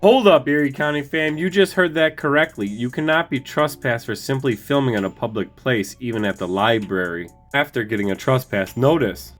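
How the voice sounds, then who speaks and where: A man's voice: mid-range voice, normal speed, few pauses, a bit bright. An American man hosting a police-auditing channel, giving emphatic voice-over commentary and addressing his viewers familiarly. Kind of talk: scripted-sounding voice-over commentary to subscribers. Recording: faint music under the voice. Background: music.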